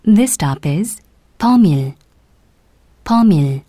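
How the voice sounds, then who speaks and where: A woman giving scripted subway announcements in a formal, deliberate way. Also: on the low side, lively intonation, mellow, dry recording, clean studio sound. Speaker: English-language subway announcer. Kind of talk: scripted public transit announcement.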